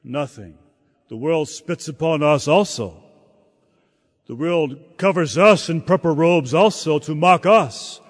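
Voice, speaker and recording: A man preaching a sermon in a slow, halting delivery, often repeating words as he searches for the next phrase. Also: fairly high pitch. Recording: long hall reverb.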